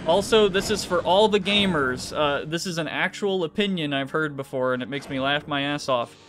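A man's voice: fairly high pitch, moderate pace, background noise. A man chatting casually while streaming a game, joking and ranting in a playful mock tone. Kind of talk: casual gaming stream chat.

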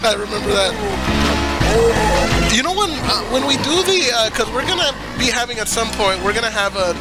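A man's voice: extremely high pitch, lively intonation, normal speed, loud music behind the voice. A man with slight laryngitis, co-hosting a gameplay video in rambling casual chat that is sprinkled with swearing. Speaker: gaming channel co-host. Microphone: desk mic.

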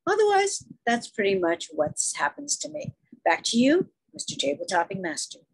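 Woman, gravelly and husky, lively pitch, impromptu comic storyteller. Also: normal speed, no room sound, rough recording. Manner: chatty, storytelling with asides. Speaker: table topics speaker. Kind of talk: impromptu humorous speech. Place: online club meeting.

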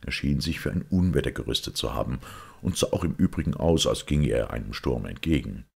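A man reading a detective story as scripted literary narration, in a measured, dramatic manner. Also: very deep voice, lively intonation, gravelly, a little mellow, slightly rough. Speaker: audiobook reader.

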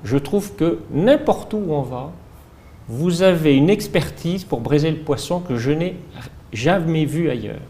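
Measured French-accented man in his fifties. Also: medium pitch, darkish tone. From France. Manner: measured, hesitant with many fillers and restarts. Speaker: ambassador being interviewed. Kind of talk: diplomatic interview answers. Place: formal reception room indoors.

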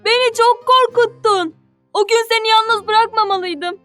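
A woman's voice: very high-pitched, normal speed, a bit bright. A woman voicing a small, caring and loyal young sea creature with a sincere, childlike way of talking in a cartoon. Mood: relieved, caring. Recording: music bed under the voice.

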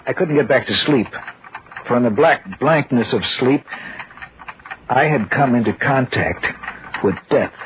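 A man's voice: medium pitch, expressive, measured pace, pauses often, close to an everyday voice. An actor playing a haunted, uneasy man recounting eerie events in 1940s radio horror style, on a noisy vintage recording. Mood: grave, haunted. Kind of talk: 1940s radio horror acting, first-person narration.

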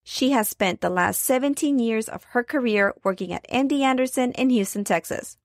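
A woman hosts a podcast with a fairly high voice. The sound is close and dry, with very little room on it. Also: moderate pace, no room sound. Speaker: podcast host. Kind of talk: scripted introduction and interview.

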